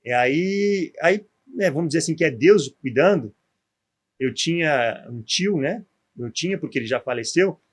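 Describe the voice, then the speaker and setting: Gaúcho man, 40s, ex-footballer guest, slightly high voice, chatty storyteller. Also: lively intonation, moderate pace. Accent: gaúcho Portuguese. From southern Brazil. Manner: storytelling, frequent tag questions. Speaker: guest, former pro footballer. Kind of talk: podcast interview.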